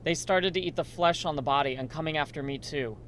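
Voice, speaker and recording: A man acts a steady, reassuring male survivor in a horror radio play. His voice is bright and forceful, with an earnest delivery. There is faint noise in the background. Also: on the high side, a little fast, lightly acted. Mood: urgent. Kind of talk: amateur horror radio drama acting.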